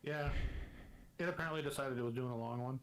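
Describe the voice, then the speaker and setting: A man talks quickly in casual chat on his own game stream. He jokes about himself in a flat, deadpan way. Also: mid-range voice, low-quality recording. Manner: dry, deadpan self-deprecating humor.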